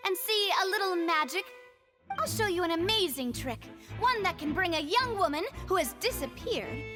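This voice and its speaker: A self-assured young lady from a video game, with a very high voice. She is polite and playful, coaxing her listeners with poise while music plays loudly underneath. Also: animated, moderate pace. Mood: playful interjection.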